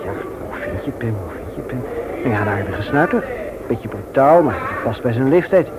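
An actor playing a mild, evasive man in a Dutch radio play, hesitant and measured in his speech. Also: medium pitch, lively intonation, close to an everyday voice. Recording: noisy old radio play recording.

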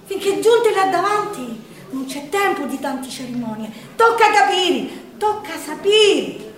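A woman storyteller with a Sicilian accent declaims a lament in verse, in a very high voice. She delivers it as theatre, sounding distant from the mic in an echoey space. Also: expressive, a little slow.

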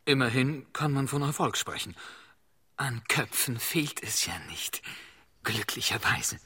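An actor playing a coolly businesslike killer in an absurdist radio play, brisk and matter-of-fact. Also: male voice, medium pitch, animated, pushed and bright, husky, heavily acted. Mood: coolly satisfied.

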